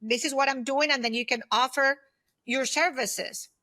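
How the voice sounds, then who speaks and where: Woman in her fifties, bright forceful tone, slightly high pitch, conversational advice, interview guest, emphatic. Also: moderate pace, no echo. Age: 50s. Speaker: podcast guest, former aerospace executive.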